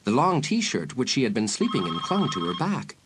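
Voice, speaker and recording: A man narrating a suspenseful dramatised audiobook in a measured way with dramatic pauses. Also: medium pitch, huge pitch swings, noisy background. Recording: loud music behind.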